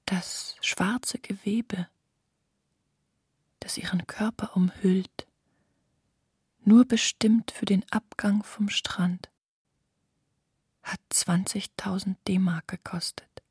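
A woman narrates scripted literary prose in an extremely breathy, whispered voice. She phrases slowly and deliberately, and the recording is very dry. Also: medium pitch, fairly even intonation, a little mellow, dry recording. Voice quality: breathy, whispery, largely unvoiced.

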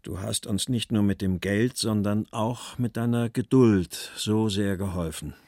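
A man's voice: fairly low pitch, measured pace, a little mellow, no echo, studio quality. An actor playing a post-war German writer, reading out his letters and verse in a composed literary style.

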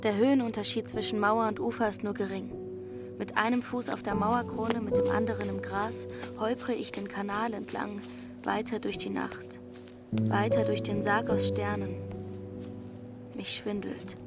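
A woman's voice: medium pitch, flat delivery, normal speed, close to an everyday voice. A woman acting a runaway teenage girl who wanders alone, headstrong and dreamy. She tells it in the present tense, lyrical and close, in a mid-range female voice. Prominent music plays under her throughout this audio drama. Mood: dreamy, intimate.

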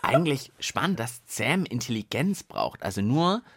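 A man chats playfully as co-host of a children's science show. His delivery moves freely up and down in pitch, and the sound is close and dry, with very little room. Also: sing-song, normal speed, fairly bright tone, dry recording. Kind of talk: casual, playful banter.

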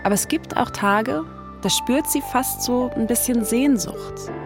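A woman's voice: on the high side, measured pace. Standard German woman narrating over music. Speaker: podcast host and narrator. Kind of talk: scripted podcast narration.

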